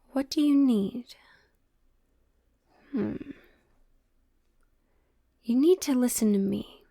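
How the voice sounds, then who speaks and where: Slow-talking witch fortune teller played by a woman. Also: medium pitch, a little mellow, no echo, clean studio sound. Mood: indignant, firm. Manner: slow, deliberate, theatrical, with pondering pauses. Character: witch tarot reader, mysterious, teasing, gently bossy woman. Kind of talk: improvised audio roleplay, addressing the listener.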